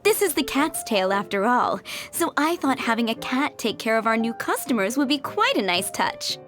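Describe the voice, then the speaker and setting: Gracious female tavern proprietress, chatty, highish pitch, video game dialogue, faint music. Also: very animated, moderate pace. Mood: genial. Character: genial, polite tavern proprietress.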